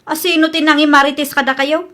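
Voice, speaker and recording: A woman's voice: high-pitched, fairly even intonation, fairly quick, a bit bright. An actress with an Ilocano accent playing a defensive grown woman in a radio comedy drama. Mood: defensive, indignant. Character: defensive grown woman accused of stealing at work.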